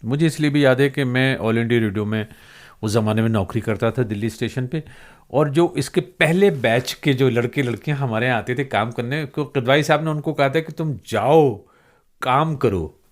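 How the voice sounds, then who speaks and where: A man's voice: mid-range voice, moderate pace. A male interview host with a mid-range voice. He talks in a conversational way, addressing his guest with courtesy and appreciation. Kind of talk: conversational Urdu-style interview.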